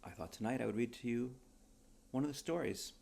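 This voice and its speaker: A man who is an author reading his own short story aloud in a dramatic storybook style, with a mellow voice. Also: mid-range voice, brisk, vocal fry.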